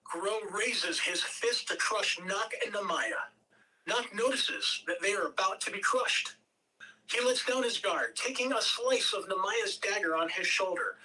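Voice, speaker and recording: A woman narrates a script at a table read. Her voice is bright and mid-pitched, measured with the odd stumble. The microphone sounds some distance away. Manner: measured, occasional stumbles over words. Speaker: narrator reading stage directions.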